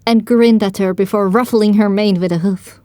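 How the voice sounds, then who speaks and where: A woman's voice: medium pitch, fairly even intonation, moderate pace, mellow, dry recording. A woman reading first-person dramatic story narration for an audio drama.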